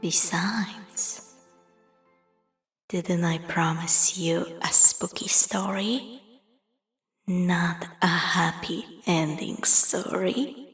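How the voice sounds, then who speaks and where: A woman narrating a spooky story as a storyteller, in a breathy half-whisper with measured theatrical delivery. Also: on the low side, big-room sound, soft background music.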